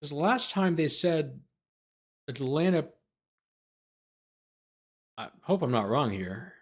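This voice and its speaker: A man's voice: fairly high pitch, big pitch swings, takes it slowly. A man hosting a legal commentary show, reading a document aloud and breaking off for sardonic off-the-cuff asides. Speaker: host, lawyer commentator.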